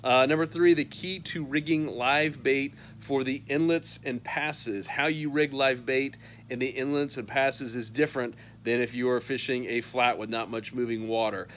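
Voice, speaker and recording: A man's voice: mid-range voice, steady tone, normal speed, fairly bright tone, a little hiss or hum. Chatty man filming a patio update. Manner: upbeat and chatty, lots of "uh" fillers. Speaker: fishing newsletter host. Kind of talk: casual weekly roundup to camera. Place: covered patio outdoors.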